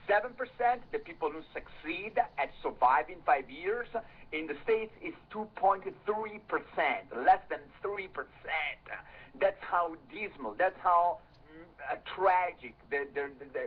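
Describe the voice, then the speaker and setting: A man's voice: high voice, animated, measured pace, slightly rough. A man speaking as an interview guest, emphatic, repeating words and piling up synonyms to press his point. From half English and half Italian, lived in many countries. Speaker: interview guest, health author. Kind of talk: animated interview monologue. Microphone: telephone. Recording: tinny, narrow telephone line.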